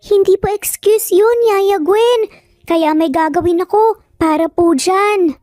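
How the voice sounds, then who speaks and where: A woman's voice: extremely high pitch, slow pace, mellow, dry recording, studio quality, soft background music, pitched above the performer's own voice. A woman voicing a stubborn, cute little boy in a dramatized story, speaking in an insistent, scolding but polite childlike way.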